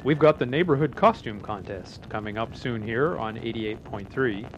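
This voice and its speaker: A man's voice: medium pitch, moderate pace, highs cut off, lightly acted. An actor playing a man speaking on an old radio tape, turning ominous. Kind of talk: audio drama acting. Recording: noisy old cassette tape.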